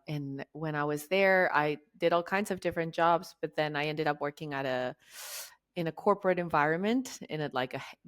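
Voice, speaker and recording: Argentine woman facilitator in her forties, lower register, chatty guest. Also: clean tone. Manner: chatty, jokey and laughing easily. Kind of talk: conversational interview.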